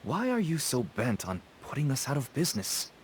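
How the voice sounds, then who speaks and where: A man's voice: medium pitch, wildly swooping pitch, normal speed, slight background noise. An actor playing a nervous, ingratiating merchant father with a shady trade in a video game. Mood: cautioning. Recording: game music behind the voice.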